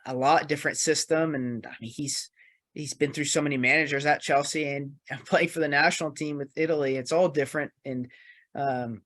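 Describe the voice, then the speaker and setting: A man on a podcast panel talking football casually, reasoning things out in a measured, halting way. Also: on the high side, steady tone, fairly bright tone.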